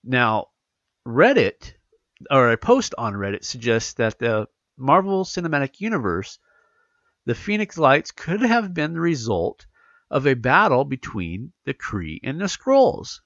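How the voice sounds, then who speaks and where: A man's voice: medium pitch, big pitch swings, measured pace, fairly bright tone, no room sound, lo-fi sound. A man hosting a casual podcast, congested from allergies, rambling with many fillers and restarts as he reads out news. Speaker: podcast host. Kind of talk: casual podcast chat, reading news aloud.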